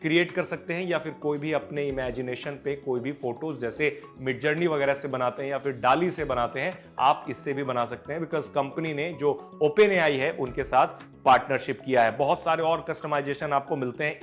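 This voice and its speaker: An Indian man presents tech news in a fairly high-set voice. He explains informally and off the cuff, dropping English words into his speech. Music plays behind him. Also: moderate pace, few pauses. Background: background music.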